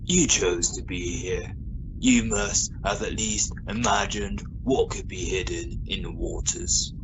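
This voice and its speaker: A man playing a calm, matter-of-fact submarine pilot in an amateur sci-fi radio play. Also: sits low, slow pace, creaky, full character voice. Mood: calm, firm. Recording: noisy amateur recording.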